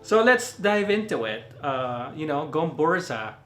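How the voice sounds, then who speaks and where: A man's voice: a little high, very animated, measured pace, a bit bright, roomy sound, soft background music. Filipino-accented man rambling through a review. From Philippines. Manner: rambling, many fillers, laughs at own slips. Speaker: movie reviewer. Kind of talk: casual spoken movie review.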